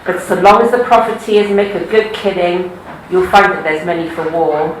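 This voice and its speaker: A woman's voice: lowish voice, steady tone, moderate pace, noisy background. A woman from Wales with a Cardiff accent, reading poems aloud at a live event with chatty asides in between. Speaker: poetry reader. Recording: echoey room.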